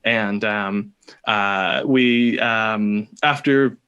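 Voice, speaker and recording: A man with a Californian American accent, telling a personal story casually as a podcast guest, rambling and pausing often. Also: fairly low pitch, a bit bright, clean tone, lo-fi sound. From California, United States. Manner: hesitant, rambling, with many pauses.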